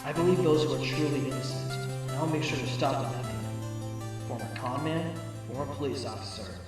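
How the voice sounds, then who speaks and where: A man acts out a wry fox defense attorney in a fan audio drama. He is teasing yet earnest, at an average pitch. The sound is roomy and off the mic, with loud music behind. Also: normal speed, far from the mic, natural voice. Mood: reflective. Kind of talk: amateur audio drama acting with narration.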